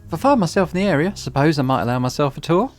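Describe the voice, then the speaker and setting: A man's voice: fairly high pitch, big pitch swings, racing, pitched above the performer's own voice. A man voicing a reserved, wry young woman who speaks in formal, clipped Victorian phrasing.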